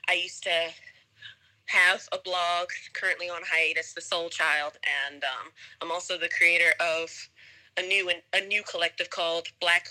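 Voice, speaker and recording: A woman's voice: on the low side, fairly even intonation, normal speed, bright, forceful tone, poor audio. A young American woman chatting casually as a guest in an interview. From United States, suburbs of Atlanta, Georgia. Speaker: podcast guest, English teacher.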